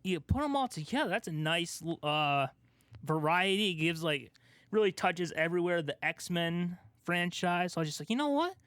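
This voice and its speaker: Male podcast co-host, fairly high voice, casual and animated storytelling, recorded dry. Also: moderate pace, smooth, dry recording. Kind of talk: casual chat about movies.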